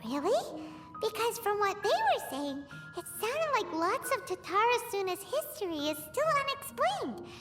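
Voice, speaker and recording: Tiny childlike game fairy, extremely high squeaky voice, wildly animated, chatty, curious. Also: female voice, a little slow, heavily acted. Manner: speaks of self in third person, exclaims. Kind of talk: video game voice acting. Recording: music behind the voice.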